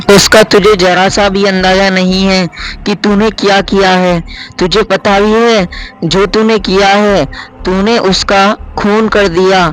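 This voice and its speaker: Man doing a middle-aged mother, anxious, scolding, high voice, storybook. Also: fairly bright tone, rough recording.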